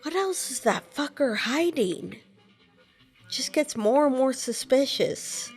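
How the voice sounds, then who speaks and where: A woman's voice: high voice, very animated, slow pace, husky, soft background music. A young woman voicing her game character, asking questions hesitantly with fillers. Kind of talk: casual game voice-acting.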